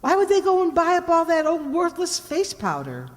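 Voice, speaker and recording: An excitable, loyal female assistant to a radio detective, played by an actress. Her high voice swings widely as she questions things dramatically. The sound has a long hall reverb. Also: normal speed, full character voice. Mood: puzzled. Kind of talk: old-time radio detective drama acting.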